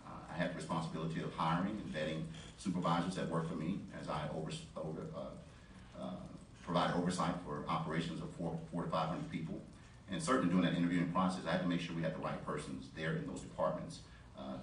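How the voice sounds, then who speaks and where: Man, very low voice; formal candidate answering haltingly in a reverberant meeting room. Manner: halting, frequent pauses mid-phrase. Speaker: school board candidate at a forum. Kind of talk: formal unscripted forum answer. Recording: echoey board room, distant mic, background noise.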